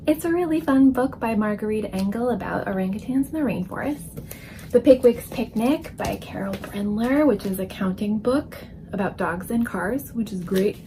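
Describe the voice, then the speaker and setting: A woman's voice: on the high side, a little mellow, slight background noise. A woman, chatting casually to camera as a children's illustrator. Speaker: children's book illustrator and author. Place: indoor room full of books.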